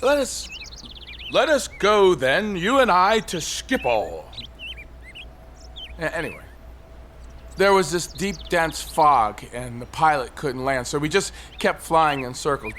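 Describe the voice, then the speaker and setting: An actor playing a despairing, agitated man perched on a high ledge in an audio drama, rambling on and given to outbursts. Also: lively intonation, unhurried. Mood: wistful, rambling. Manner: rambling, wistful, bursting into shouts. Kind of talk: audio drama monologue and dialogue. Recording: faint city traffic noise behind.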